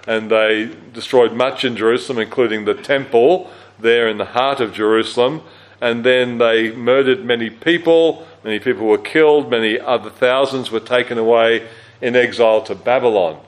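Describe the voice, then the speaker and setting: Man, low register, mid pitch; conversational Bible teacher at a church camp, loose and unscripted, with fillers. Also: moderate pace, low-quality recording. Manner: conversational, with frequent fillers and self-corrections. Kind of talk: teaching a Bible study talk.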